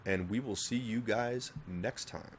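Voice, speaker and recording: Swearing, animated podcast co-host. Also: male voice, on the low side, unhurried, slight background noise. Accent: General American. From Northern Virginia, USA. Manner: crude, swearing, animated and joking. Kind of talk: casual chat.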